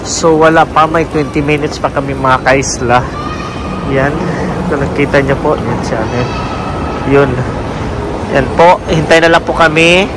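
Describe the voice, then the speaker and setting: A man's voice: a little high, steady tone, unhurried, long pauses. A man with a Filipino accent chatting casually as a travel vlogger, often addressing his viewers as he goes. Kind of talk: casual travel vlog chat. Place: out and about, streets and train stations. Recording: noisy outdoor and station background.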